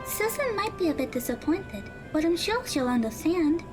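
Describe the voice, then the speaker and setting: A woman's voice: extremely high pitch, sing-song, normal speed, music in the background. A woman playing a kind teenage schoolgirl in an audio drama, with a girlish voice and a polite, earnest manner. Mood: friendly, cheerful.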